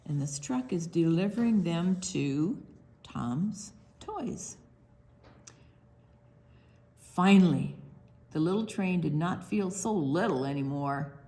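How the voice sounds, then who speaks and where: A woman reading a picture book aloud to young children, animated, pausing to explain things simply and ask questions. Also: fairly low pitch. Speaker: children's story time reader.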